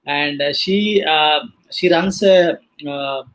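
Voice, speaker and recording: The slightly high-voiced man opening a webinar, feeling his way through guest introductions with frequent ums and pauses. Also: a bit bright, rough recording. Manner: hesitant, halting delivery with many filler sounds. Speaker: host of a live online panel session. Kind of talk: casual live introduction of guests. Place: online live video session.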